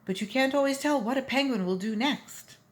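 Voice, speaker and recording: A woman's voice: sits high, a little fast, pitched above the performer's own voice, lightly acted. A woman voicing a mild, good-natured married man in a children's storybook, earnest and polite. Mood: humble.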